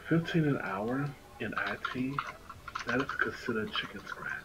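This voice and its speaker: A man's voice: medium pitch, expressive, moderate pace, very noisy. A man in a casual voice chat, speaking bluntly and with a griping manner.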